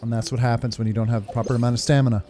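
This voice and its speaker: A man's voice: mid-range voice, flat delivery, racing. A man giving casual playthrough commentary as a gaming video host, informal, with muttered asides. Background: game music.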